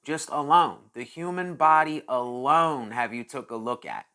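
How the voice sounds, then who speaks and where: A man's voice: medium pitch, measured pace, bright, forceful tone, clean tone. A man in his late thirties hosting his own channel, talking casually and at length in a rambling monologue, repeating phrases as he thinks aloud. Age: 30s. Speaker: channel host.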